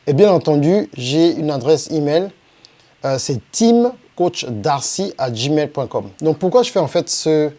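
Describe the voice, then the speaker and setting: A man's voice: on the high side, sing-song, measured pace, mellow. A middle-aged man from France, a business coach, giving an unscripted presentation with constant fillers and hesitations. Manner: frequent "en fait" fillers and hesitations.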